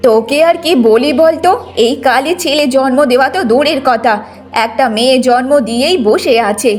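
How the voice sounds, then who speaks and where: A woman's voice: high voice, normal speed, soft background music, close to an everyday voice. A woman voicing a bitter, domineering old village mother-in-law in a cartoon moral story. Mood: bitter complaining. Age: older.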